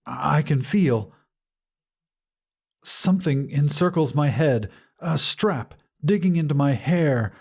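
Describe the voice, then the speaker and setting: A man narrating a scripted first-person story in a measured, dramatic way with deliberate pauses. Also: mid-range voice, creaky, a little mellow. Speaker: audiobook narrator.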